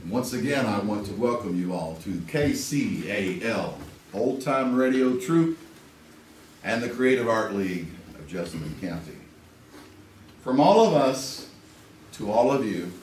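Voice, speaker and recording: A man giving a slow, formal welcome to a theater audience, heard far off in an echoey room. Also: on the low side, animated, darkish tone, a little hiss or hum. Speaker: troupe representative. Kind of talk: welcome speech. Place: small theater.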